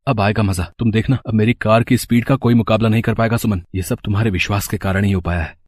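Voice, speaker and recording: A man playing an earnest, humble young mechanic husband in an animated moral story, speaking with open emotion. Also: fairly low pitch, fast talker, dry recording, clean studio sound, close to an everyday voice. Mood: confident.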